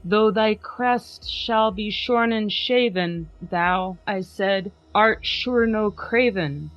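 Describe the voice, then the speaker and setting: A woman podcast host giving a dramatic poetry reading, trading lines with a co-reader in a measured way. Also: medium pitch, no room sound. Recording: slightly muffled with faint music.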